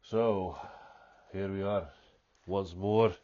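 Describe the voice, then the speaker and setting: A man with a stuffy nose giving an unscripted, reflective monologue, speaking deliberately and often restarting his sentences. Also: low-pitched, steady tone, darkish tone, highs cut off. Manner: slow, deliberate, thoughtful, with frequent restarts. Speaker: pipe-smoking vlogger.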